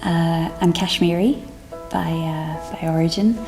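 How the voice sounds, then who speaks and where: A woman's voice: deep voice, measured pace, warm tone, soft background music, poor audio. A woman brought up in Scotland who works on civil liberties policy, speaking thoughtfully in a seated interview. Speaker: policy committee staffer. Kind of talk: interview testimony. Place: indoor room with curtain backdrop.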